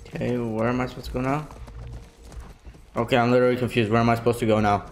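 A young man with a medium-pitched voice commentates on a game in a casual, rambling way. He sounds informal and goofy, on a headset at home with faint noise and game sound behind him. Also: little pitch movement, fast. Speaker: gaming streamer. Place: small indoor room at home.

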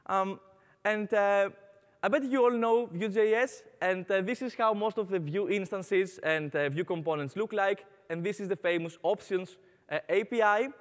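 A man's voice: sits high, normal speed, big-room sound. Greek-accented man giving a tech talk. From Greece. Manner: frequent filler "uh", relaxed and upbeat. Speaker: conference speaker. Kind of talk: conference talk. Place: large conference hall on stage.

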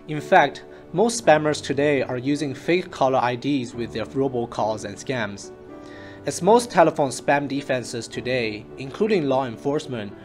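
A man narrating a scripted research presentation in a measured, formal way, as if reading. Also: mid-range voice. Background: instrumental music.

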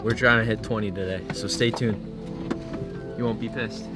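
A young man giving a relaxed, matter-of-fact update to the camera on a fishing trip. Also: lowish voice, moderate pace, loud music behind the voice. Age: young adult. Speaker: fishing partner. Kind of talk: casual vlog chat. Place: outdoors and in a car.

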